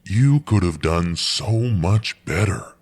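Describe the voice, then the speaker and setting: A cruel inner voice that sneers and belittles, played by a man. It talks in a very deep, gravelly drawl and takes its time with every jab. It comes from a radio drama. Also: lively intonation, full character voice. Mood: mocking. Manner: sneering, belittling, slow and cutting. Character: Otto's cruel inner critic voice, male, mocking. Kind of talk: audio drama acting.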